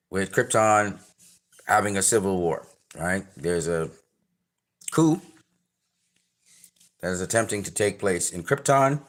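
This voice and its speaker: A man's voice: lowish voice, fairly bright tone. A man with a Trinidadian accent hosting a casual trailer reaction, rambling with many fillers and restarts. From Trinidad and Tobago. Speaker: channel host reviewing a trailer.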